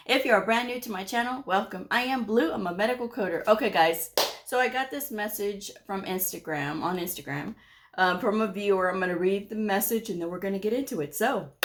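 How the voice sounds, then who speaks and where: Middle-aged woman vlogger, mid-pitched voice, blunt and scolding, no-nonsense advice. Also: background noise. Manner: frank, scolding, emphatic. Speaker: medical coding vlogger.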